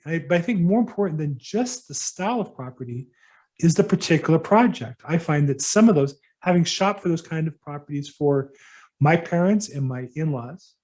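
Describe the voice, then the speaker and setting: A middle-aged man with a mellow, somewhat high voice answers questions from a workshop audience. He speaks casually and haltingly, with frequent ums and restarts. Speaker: real estate broker hosting a workshop. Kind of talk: casual advice to attendees.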